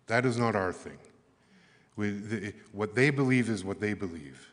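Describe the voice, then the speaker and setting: A Canadian man giving earnest closing remarks at an arts summit, halting and thoughtful, with many fillers. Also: on the low side. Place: large conference hall. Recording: echoey hall with long reverb.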